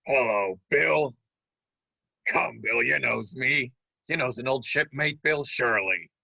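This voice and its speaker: A man playing a menacing pirate who feigns friendliness, with a raspy voice and wheedling sailor dialect in a radio play. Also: mid-range voice, slow pace, sharp tone, dry recording, heavily acted. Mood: sly, wheedling. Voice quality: raspy, gravelly. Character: menacing pirate feigning friendly warmth, sly old shipmate.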